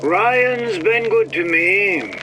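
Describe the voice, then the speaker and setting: An actor playing a pompous, self-justifying artist in a video game, with a florid, affected delivery. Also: male voice, high-pitched, animated, very slow, background noise, heavily acted. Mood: smug.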